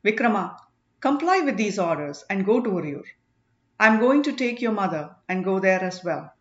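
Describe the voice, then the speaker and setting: Woman as a commanding storybook elder, stern and accusing, emphatic short phrases, swooping pitch, roomy muffled recording. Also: sing-song, moderate pace, close to an everyday voice. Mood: firm, advising.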